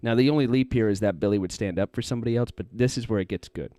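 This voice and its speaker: A man's voice: mid-range voice, rapid-fire, dark tone, no room sound, clean studio sound. A man co-hosting a casual talk podcast, telling rambling stories and teasing as he goes. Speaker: podcast co-host. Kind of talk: casual banter and storytelling.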